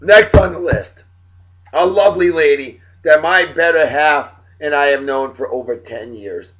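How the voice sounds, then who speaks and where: Hoarse, upbeat New England man. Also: on the high side, unhurried, a bit bright, lo-fi sound. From Massachusetts. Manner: upbeat, hype-filled and chatty, with throat clearing. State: hoarse, losing his voice. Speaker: video blog host. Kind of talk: casual beer review vlog.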